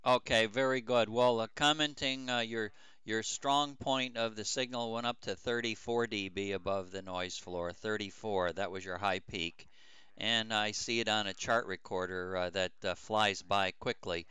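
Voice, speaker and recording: Clear, bright, low-voiced older man. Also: fairly even intonation, no room sound. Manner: halting, repetitive, explanatory. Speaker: amateur radio operator. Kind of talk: unscripted ham radio chat.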